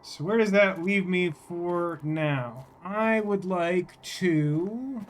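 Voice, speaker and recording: A man doing live game commentary, halting and rephrasing, with a wryly exasperated manner. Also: sits high, slight background noise. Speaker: game streamer. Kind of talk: live game commentary mixed with reading dialogue aloud.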